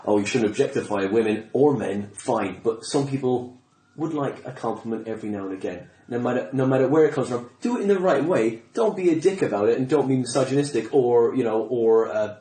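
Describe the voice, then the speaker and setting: A man's voice: medium pitch, animated, fairly quick, mellow. A man hosting a casual, bantering podcast chat, rambling and often restarting his sentences. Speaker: podcast host; stand-up comedian. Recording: roomy, echoey sound.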